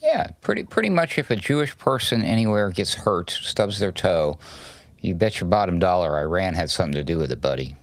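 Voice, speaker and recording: A man's voice: deep voice, very animated, fairly quick, dry recording. A man co-hosting a political commentary chat, joking with sarcasm. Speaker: co-host.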